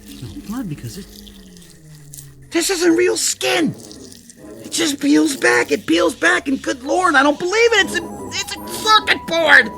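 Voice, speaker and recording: A man's voice: very high-pitched, very animated, a little slow, vocal fry, over music, full character voice. An actor playing a distraught, incredulous man who learns he is an android, in a radio drama style. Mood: panicked, horrified. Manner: agitated, pleading, incredulous.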